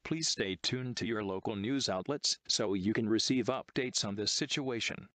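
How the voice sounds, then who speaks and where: A synthetic male text-to-speech voice reading a scripted emergency broadcast announcement in a formal, impersonal, measured manner. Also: lowish voice, pushed and bright, a little hiss or hum.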